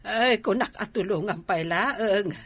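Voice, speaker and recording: A woman's voice: mid-range voice, unhurried, a bit bright, slightly rough, no room sound. A woman with an Ilocano accent playing a fretful mother, scolding yet indulgent toward grown sons, in a comedy drama.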